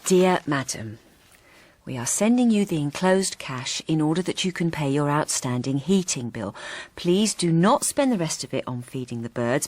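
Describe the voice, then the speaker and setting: A woman's voice: sits low, darkish tone, no echo, exaggerated voice. An actress playing a lonely, wistful housewife narrating her own story in a radio drama, confiding and understated. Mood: dry, gentle.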